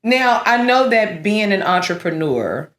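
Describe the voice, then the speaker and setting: A woman radio host who teases her guest playfully and jumps in with quick reactions. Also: mid-range voice, reverberant. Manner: teasing, animated, quick to laugh and jump in. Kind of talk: playful interview hosting.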